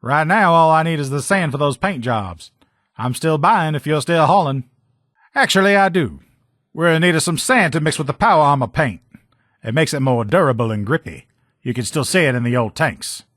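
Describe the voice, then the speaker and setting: A man's voice: a little high, lively intonation, moderate pace, fairly bright tone, no room sound. Blunt, folksy military lieutenant, man acting dry close recording. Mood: businesslike. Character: gruff, plain-spoken male power armor lieutenant, loyal, blunt. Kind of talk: video game character dialogue.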